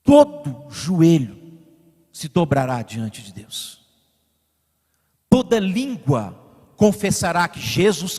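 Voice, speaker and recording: Man from Brazil, pastor, sermon, high voice, long church reverb, surges and drops in force. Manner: rising to emphatic peaks, then dropping to quiet asides; directs the slide operator mid-sermon. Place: church sanctuary.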